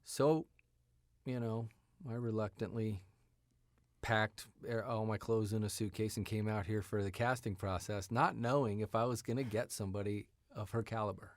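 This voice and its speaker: A man's voice: on the low side, steady tone, normal speed, no room sound, clean studio sound. A man talking casually as a writer and actor being interviewed, in an informal, conversational way. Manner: informal, often checks with "you know". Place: sound studio.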